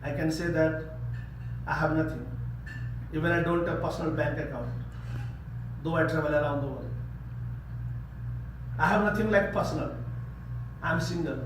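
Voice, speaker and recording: Man, Nepali accent, earnest guest speaker, repeats words for emphasis, distant mic, noisy echoing room. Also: a little high. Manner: earnest, repeats words for emphasis, leads a call-and-response chant. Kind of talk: speech to a service club.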